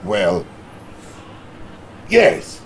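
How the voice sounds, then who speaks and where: A man's voice: fairly low pitch, wildly swooping pitch, very slow, slight background noise, full character voice. A man playing a jovial, dithering older Soviet premier with a Russian accent, theatrical and hesitant, in a video game cutscene. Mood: hesitant, grave.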